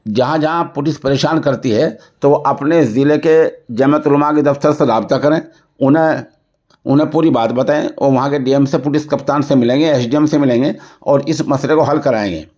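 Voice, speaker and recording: A man's voice: mid-range voice, moderate pace, darkish tone. Newsman, dull recording. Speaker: news presenter. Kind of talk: news report with commentary, formal Urdu register.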